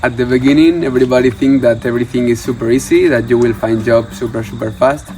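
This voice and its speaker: Young Spanish man, halting English. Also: mid-range voice, fairly even intonation, over music, rough recording. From Barcelona, Spain. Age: 20s. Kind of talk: casual interview answers.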